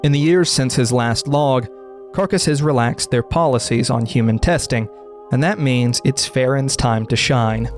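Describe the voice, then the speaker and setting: Male horror explainer narrator, medium pitch, scripted delivery, faint music bed. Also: normal speed.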